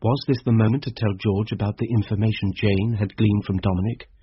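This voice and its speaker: Reflective male first-person narrator, troubled and pondering, rough husky tone, slightly muffled recording. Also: on the low side, steady tone, a little fast, no echo. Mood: worried, pondering. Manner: earnest, pondering. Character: troubled, reflective man narrating his private thoughts. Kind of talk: scripted first-person radio drama narration. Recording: dull, dry, close radio drama narration.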